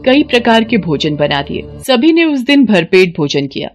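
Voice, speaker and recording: A woman's voice: on the high side, sing-song, moderate pace. A woman storyteller reading a scripted bedtime story narration. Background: music.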